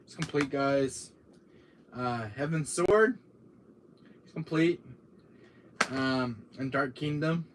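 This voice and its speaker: Man, deep voice, tired from a cold, rambling casual chat, faint background noise. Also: slow, pauses often. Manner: rambling, many fillers, addresses chat directly. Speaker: gaming channel host. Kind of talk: casual live-stream chat showing game purchases.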